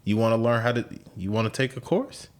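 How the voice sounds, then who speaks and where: A man's voice: on the low side, lively intonation, quick pace. A man in his late twenties, hosting a podcast in casual conversation, thinking aloud with frequent repeats and restarts. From United States. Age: 20s. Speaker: podcast host.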